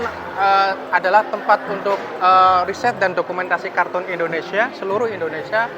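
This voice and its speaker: A man giving an unscripted interview statement, halting as he lists his points. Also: high-pitched. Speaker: association chairman giving an interview. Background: music.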